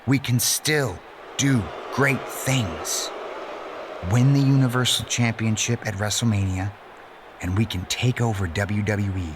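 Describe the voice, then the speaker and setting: An actor playing a menacing, self-righteous messianic wrestling villain in a video game, delivering a deliberate, pompous monologue that persuades and threatens. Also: male voice, fairly low pitch, background noise. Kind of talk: dramatic scripted monologue.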